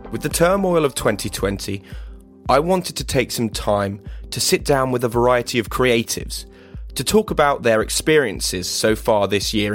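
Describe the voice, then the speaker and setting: A man hosting a podcast interview, hesitant, with many fillers and restarts. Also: medium pitch. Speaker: podcast host.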